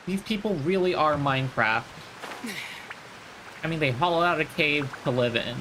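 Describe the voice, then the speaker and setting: A woman's voice: low-pitched, lively intonation, moderate pace, fairly bright tone, a little hiss or hum, low-quality recording. A woman live-streaming a game, giving casual commentary and musing aloud. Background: game music.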